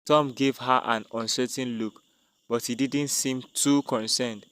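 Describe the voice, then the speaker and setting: A man's voice: medium pitch, fairly even intonation, unhurried, fairly bright tone, clear, resonant tone, no room sound. A man narrating a dramatic scripted tale as a storyteller.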